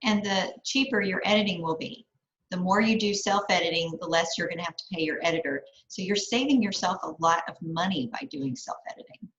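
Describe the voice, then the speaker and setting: A woman's voice: fairly low pitch, brisk, fairly bright tone. A woman on a podcast panel giving conversational advice about writing. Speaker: podcast panelist and fiction writer. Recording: dull, echoey room sound.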